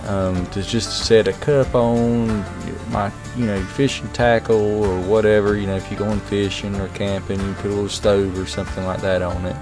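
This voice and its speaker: A middle-aged man giving a relaxed, rambling product review, full of fillers and restarts. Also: fairly low pitch. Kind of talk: casual voice-over review. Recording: music playing underneath.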